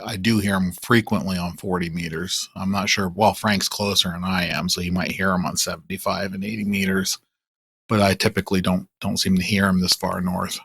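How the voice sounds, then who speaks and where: A man chats casually as a podcast panelist. His voice sits low and sounds husky, with a very dry recording. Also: fairly bright tone, no echo. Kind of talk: casual chat.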